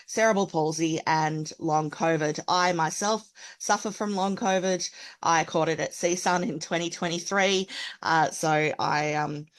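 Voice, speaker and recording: A middle-aged woman with an Australian accent, giving a webinar presentation over a video call. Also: deep voice. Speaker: presenter, accessibility expert.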